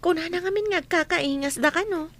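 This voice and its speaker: A woman's voice: extremely high pitch, fairly quick, a bit bright. A woman with an Ilocano accent playing a naive, polite young housemaid in a radio comedy drama. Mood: puzzled, polite. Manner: polite, questioning, innocent.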